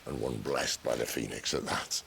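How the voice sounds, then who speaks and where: An actor playing a wry, world-weary male rebel leader in a video game, speaking in a dry, teasing, unhurried way. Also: very deep voice, gravelly, background noise, full character voice.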